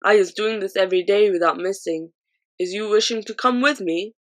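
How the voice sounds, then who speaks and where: A woman voicing a gentle, friendly male giant who mangles his grammar and uses odd made-up words. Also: medium pitch, brisk, natural voice.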